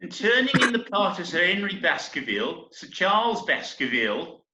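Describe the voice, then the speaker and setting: Earnest, slightly flustered theatre compere, acted by a man, bright and high-pitched, roomy lo-fi sound. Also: big pitch swings, moderate pace, heavily acted. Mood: earnest, announcing. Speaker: amateur actor in a remote group reading. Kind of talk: comic stage-play reading, addressing the audience. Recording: echoey, dull internet call recording.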